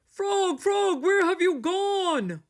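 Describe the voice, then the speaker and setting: An excitable, self-important storybook toad, acted out by a woman reader. The voice sits very high and swings widely, exclaiming with big, anxious drama. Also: extremely slow, exaggerated voice. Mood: distressed. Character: excitable boastful male toad, anxious for his friend. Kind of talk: animated storybook read-aloud.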